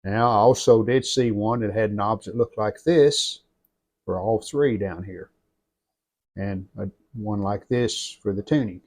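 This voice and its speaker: A man giving an unscripted hands-on restoration walkthrough in plainspoken, halting short phrases. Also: fairly low pitch, a little mellow. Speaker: radio restorer presenting own channel.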